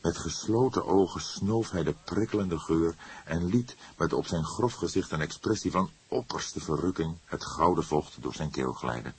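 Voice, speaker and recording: A man reading a novel aloud as an audiobook narrator, in a measured storytelling manner. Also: deep voice, animated, breathy edge. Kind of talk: scripted literary narration.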